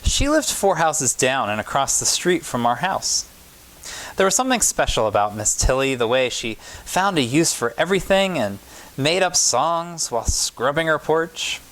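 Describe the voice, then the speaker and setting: A man's voice: a little high, very animated, normal speed, fairly bright tone, rough recording. Man reading a picture book aloud. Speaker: pastor reading a children's story. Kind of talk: storytime read-aloud of a picture book to children.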